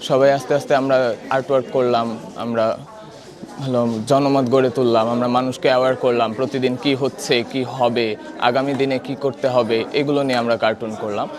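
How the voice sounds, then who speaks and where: A man's voice: medium pitch, level tone, a little fast, a crowd in the background. A male artist with a Bangladeshi Bengali accent answering conversationally in short phrases with English words. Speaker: artist interviewed.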